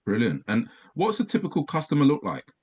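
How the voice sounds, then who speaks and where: A man hosting an interview, asking brisk, encouraging questions. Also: medium pitch, huge pitch swings, a little mellow, slightly rough. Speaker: interview host. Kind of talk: conversational interview.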